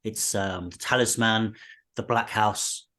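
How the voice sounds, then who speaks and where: A man's voice: lowish voice, steady tone, slow, sharp tone. A man co-hosting a book podcast in casual, conversational chat. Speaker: book review podcast co-host.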